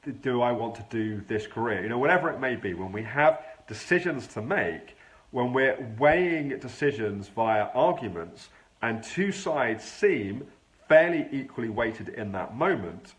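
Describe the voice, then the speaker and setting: A man who has a cold, sounding nasal and gravelly, explaining a thought pattern in a measured, informal live stream. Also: mid-range voice, animated. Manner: measured, explains with everyday examples. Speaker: health clinic practitioner hosting a live stream.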